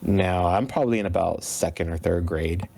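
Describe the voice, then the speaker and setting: A man's voice: low voice, normal speed, creaky. A man in his thirties, a guest on a podcast, telling stories animatedly and laughing often. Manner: animated storyteller, laughs often, acts out the people he quotes. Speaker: guest, video game composer. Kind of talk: podcast interview.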